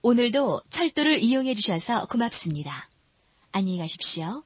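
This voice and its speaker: Muffled high female subway announcer. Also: very animated, normal speed, breathy edge, no echo. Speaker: train announcer. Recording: dull, lo-fi train announcement recording.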